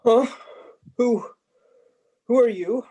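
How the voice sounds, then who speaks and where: A man's voice: extremely high pitch, takes it slowly, dark tone, highs cut off, heavily acted. A man acting a grumpy, miserly old man in a radio play, blustering and grumbling. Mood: startled, scoffing.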